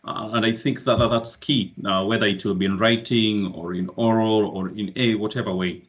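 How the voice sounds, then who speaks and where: A man sets out his qualities as a candidate in formal, repetitive phrasing. His voice is fairly low. The video call sound is slightly dull. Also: fairly even intonation, moderate pace, a bit bright. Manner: formal, repeats words for emphasis. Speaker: candidate in a student election. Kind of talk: campaign answer at an online hustings.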